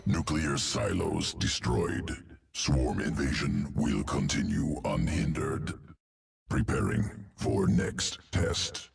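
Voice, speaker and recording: A cold insectoid alien who engineers a swarm for a video game. The voice is extremely deep and guttural, and it moves slowly. It speaks in clipped, detached fragments with words dropped, over clear background music. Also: male voice, big pitch swings, heavily acted. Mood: cold, clinical. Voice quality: gravelly, guttural. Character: alien insectoid evolution master of a swarm, cold, clinical, calculating. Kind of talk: video game character dialogue.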